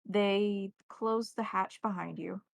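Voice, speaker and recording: A woman narrating a tabletop roleplaying game as game master, setting scenes in a descriptive, measured way. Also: medium pitch, fairly even intonation, gravelly, dry recording.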